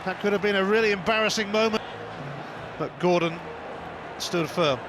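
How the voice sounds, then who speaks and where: A man works as a football commentator, calling the match live in an excited, high-pitched voice. Loud ambient noise runs behind him throughout. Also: normal speed.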